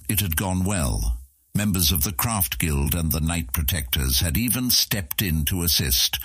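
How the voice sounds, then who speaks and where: A man narrating a fantasy audiobook in an expressive storytelling manner. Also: rumbling low, breathy edge, no echo, clean studio sound.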